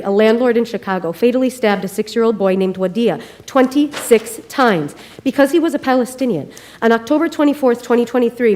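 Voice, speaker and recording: A woman's voice: a little high, a little mellow. A middle-aged woman, a parent, reading a formal and emphatic prepared speech to a board. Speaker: parent speaker at a public meeting. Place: school board meeting room.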